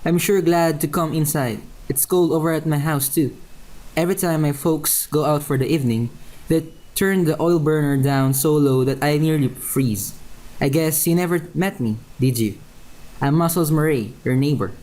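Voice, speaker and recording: A woman's voice: low-pitched, normal speed, dark tone, close to an everyday voice, poor audio. A woman playing a breezy, oblivious, talkative teenage neighbor boy in a radio play. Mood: cheery.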